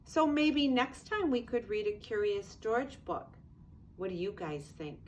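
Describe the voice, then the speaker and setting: An older woman, a grandmother, reads a picture book aloud to young children in an expressive, unhurried way with casual asides. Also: medium pitch, slight background noise. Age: 60s or older.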